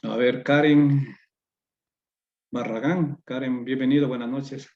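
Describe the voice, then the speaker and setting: A man moderating an online course on a video call, speaking with many pauses and fillers. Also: mid-range voice, fairly even intonation. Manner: hesitant, many fillers and restarts. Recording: dull lo-fi video call audio.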